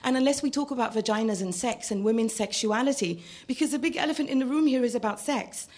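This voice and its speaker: A woman's voice: on the high side, racing, darkish tone. A woman in the audience making a blunt, emphatic, impassioned comment at a public discussion. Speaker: audience member.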